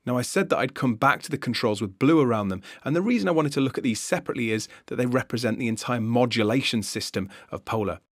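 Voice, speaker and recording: A man's voice: mid-range voice, fast, few pauses, no room sound. A man giving an explanatory, conversational screencast tutorial narration as an instructor, recorded on a close voice-over mic.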